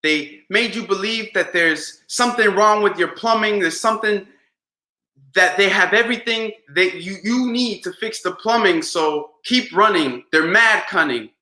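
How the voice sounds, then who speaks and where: High bright-voiced man performing slam poetry. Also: moderate pace, reverberant. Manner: rhythmic, dramatic delivery with shifting pace. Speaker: spoken word poet.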